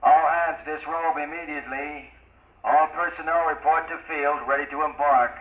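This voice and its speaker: A man's voice: a little high, fairly even intonation, normal speed, lightly acted. An actor playing a male military announcer calling out formal orders in a 1950s radio drama. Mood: urgent, official. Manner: loud, formal commands. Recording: echoey, tinny announcement.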